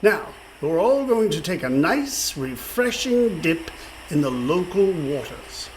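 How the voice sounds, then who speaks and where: An actor playing a blustering, villainous pirate captain in a radio play, with a pompous, theatrical, mock-courteous delivery. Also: male voice, on the high side, very animated, slow, poor audio. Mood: smug, menacing. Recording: faint background noise.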